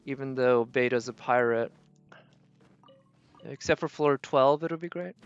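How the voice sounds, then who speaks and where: A man's voice: medium pitch, a little slow, clean tone, muffled recording, soft background music. A man doing casual live game commentary, thinking aloud and trailing off as he goes. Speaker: game streamer.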